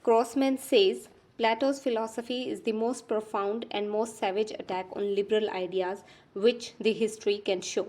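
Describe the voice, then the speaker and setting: A woman with a soft-edged, mellow voice delivers scripted revision narration as an educational presenter. She reads each quotation aloud in a measured, deliberate way, keeping a relaxed tone. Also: mid-range voice.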